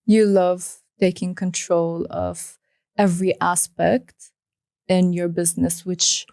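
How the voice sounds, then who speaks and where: A woman's voice: fairly low pitch, flat delivery, slow pace, gravelly, darkish tone, dry recording, studio quality. A young woman hosting an interview, asking long reflective questions in a casual conversational way. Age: young adult. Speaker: interview host.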